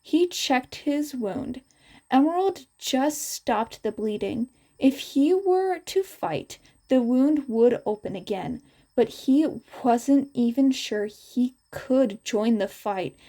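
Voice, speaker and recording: A woman's voice: a little high, animated, slow pace, dark tone. A woman narrating a scripted story aloud as a podfic reader.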